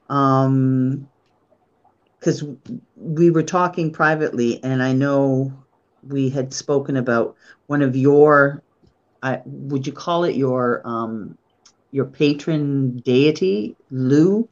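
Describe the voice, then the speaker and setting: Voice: medium pitch, slow, muffled recording. A host from the United States whose first language was Polish, talking in a chatty, rambling way full of fillers, on a video call. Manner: chatty, rambling, lots of fillers like "you know". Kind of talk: casual conversation.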